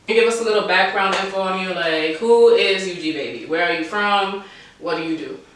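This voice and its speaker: A woman's voice: lowish voice, a little fast. A young woman hosting a casual interview, chatting and asking questions. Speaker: interviewer and host. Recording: very echoey room, distant mic, faint noise.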